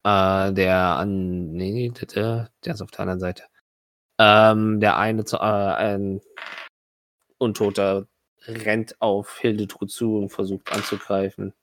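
A man's voice: fairly low pitch, little pitch movement, takes it slowly, fairly bright tone. A man narrating tabletop combat as game master, hesitant and often correcting himself.

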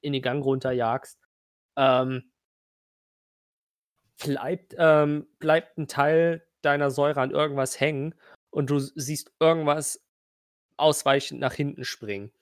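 A man narrating a tabletop role-playing game as game master, describing scenes haltingly and speaking directly to the player. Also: on the high side, steady tone, no room sound, studio quality.

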